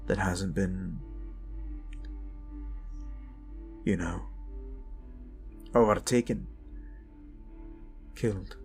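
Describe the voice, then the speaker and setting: A man voicing grave fantasy soldiers planning at the frontline in a roleplay audio drama, measured and solemn, with pauses. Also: sits low, a little mellow. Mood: thoughtful. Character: grave, deliberate fantasy war commanders at the frontline.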